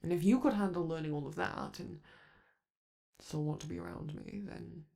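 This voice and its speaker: A man's voice: on the high side, normal speed, gravelly, warm tone. A man voicing a flamboyant, doting male robot star in a romantic ASMR roleplay, theatrical and coaxing, calling the listener darling. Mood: coaxing, flirty.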